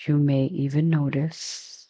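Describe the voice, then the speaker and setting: Slow, mellow woman guiding meditation. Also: sits low, flat delivery. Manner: gentle, unhurried instruction with long pauses. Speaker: yoga and meditation teacher. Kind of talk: guided meditation.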